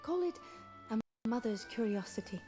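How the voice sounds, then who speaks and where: Mellow, gentle noble mother played by an actress. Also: on the high side, animated, faint music behind, natural voice. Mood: tender, grave. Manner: measured, formal, tender storytelling. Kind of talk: adventure game voice acting.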